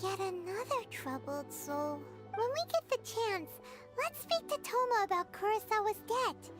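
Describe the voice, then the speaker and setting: A woman voicing a tiny, childlike fairy companion in a video game, squeaky, earnest and sing-song. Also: expressive, a little slow. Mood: concerned. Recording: tinkling game music behind the voice.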